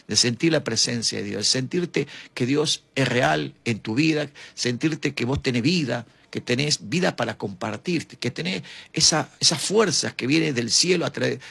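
Husky, gravelly man preaching informally. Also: medium pitch, a bit bright, dry recording. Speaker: preacher and host introducing speakers. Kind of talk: informal devotional sermon.

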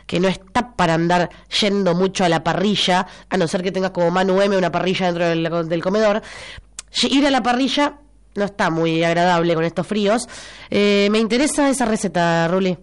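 A woman's voice: on the low side, fairly quick. A chatty young Argentine woman hosting a morning radio show while congested, her nose stuffed up and a cough breaking through now and then. She talks expressively and loops back to repeat her lines for emphasis. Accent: Argentine Spanish. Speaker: radio host. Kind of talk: casual morning radio chat reading listener messages. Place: radio studio.

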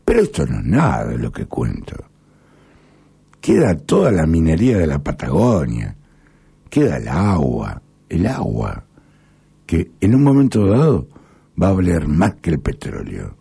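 A middle-aged Argentine man speaking in a rambling, halting monologue with sudden emphatic rises. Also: a bass voice, huge pitch swings, vocal fry, mellow, slightly rough. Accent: Argentine Spanish. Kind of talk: casual spoken monologue.

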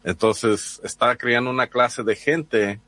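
A man's voice: medium pitch, fairly even intonation, slow, pushed and bright, no echo. A man from the United States with a Mexican accent, a reporter answering interview questions hesitantly, with many fillers and restarts.

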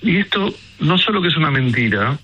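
Man, Argentine accent, bright forceful voice, emphatic and indignant, radio interview guest, dull recording. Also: a little high, very animated, fairly quick, husky.

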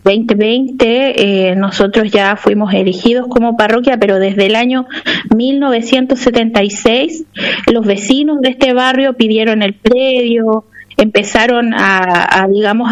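A missionary nun with a Chilean accent, answering interview questions hesitantly with many fillers. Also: female voice, fairly high pitch, rough recording.

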